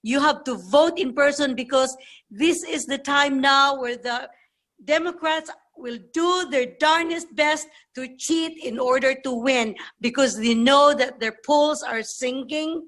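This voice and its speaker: A woman with a high voice talks informally to a group on a video call. Her pace is slow and her manner earnest and urging, circling back to repeat her points. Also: a bit bright. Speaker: meeting participant. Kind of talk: informal political remarks to a group.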